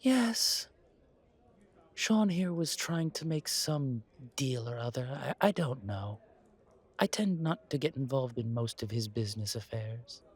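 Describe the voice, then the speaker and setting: A man voicing a courtly, wary, centuries-old vampire in a roleplay audio drama, speaking in a measured, formal, breathy way. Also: medium pitch, expressive. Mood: amused, polite. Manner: measured, formal, politely dry.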